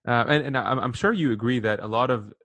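A man's voice: medium pitch, quick pace, a bit bright, no echo. A man from Lebanon hosting a podcast interview, chatting casually and in an informal, chummy way. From born in Lebanon, grew up partly in Saudi Arabia. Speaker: podcast host interviewing a guest.

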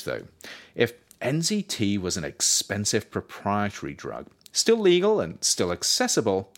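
A man reading his own scripted non-fiction as narrator, with measured, articulate, emphatic phrasing. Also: fairly low pitch, a bit bright. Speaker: author reading own book as audiobook podcast.

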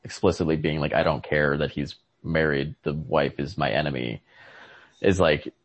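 Very deep-voiced man, halting and analytical. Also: fairly even intonation, no echo. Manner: halting, analytical, searching for words. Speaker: podcast host discussing a short story. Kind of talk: casual literary chat. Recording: lo-fi podcast recording.

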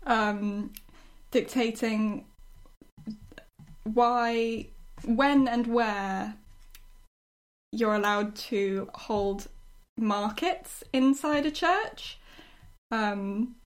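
A woman's voice: on the high side, drawn-out words, pauses often. A woman in casual discussion, with an amused, conversational manner.

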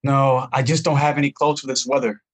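An actor playing a young, homeless chorus actor from Arizona, earnest and pleading, in an audio drama. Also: male voice, mid-range voice, little pitch movement, a little fast, lightly acted. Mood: dejected. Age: young adult. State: shivering from cold.

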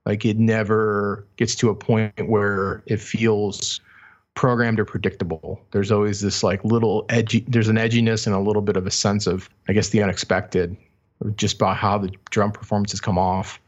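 A man co-hosting a casual music podcast, talking thoughtfully and haltingly, correcting himself, on a slightly dull recording. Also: on the low side, little pitch movement. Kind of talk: casual album discussion.

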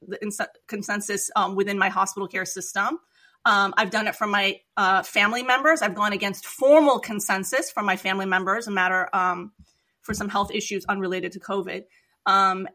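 A middle-aged woman from Iran, a physician giving her views in an interview. Her voice is bright and clear, and she speaks earnestly in measured phrases. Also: medium pitch. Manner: earnest, measured, with light laughs. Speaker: physician guest. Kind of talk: reflective interview talk.

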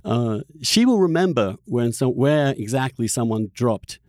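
A man's voice: medium pitch, lively intonation, a little slow, a little mellow, no room sound, studio quality. A man hosting a podcast, chatting casually and animatedly in a conversational interview. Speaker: podcast host.

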